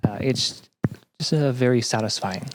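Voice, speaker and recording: A young man as a podcast guest, chatting reflectively in a halting, earnest way. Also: medium pitch. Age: young adult. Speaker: podcast guest, student teaching assistant. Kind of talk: casual reflective chat.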